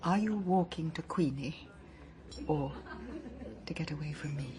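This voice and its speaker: An older wife left behind, her voice a touch low and faltering as she speaks in hushed, halting phrases. A faint hiss sits under the recording. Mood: resigned. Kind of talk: radio drama acting.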